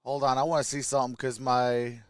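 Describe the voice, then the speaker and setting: A man's voice: mid-range voice, little pitch movement, fairly quick, heavy background noise. An American man hosting a sports livestream, chatting casually and informally to his viewers.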